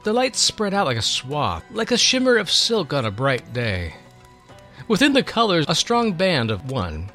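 A man's voice: fairly high pitch, huge pitch swings, a little slow, bright, forceful tone, faint music behind, voice pushed up, full character voice. A man playing an earnest young male servant, inquisitive and deferential, in a dramatic storybook reading. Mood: thoughtful, eager.

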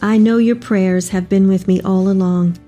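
Woman performing as aged devout mother, mellow tone, heartfelt dramatic letter reading, tender manner, faint music behind. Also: mid-range voice, steady tone, normal speed, lightly acted. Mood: tender, weary. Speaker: dramatic reader.

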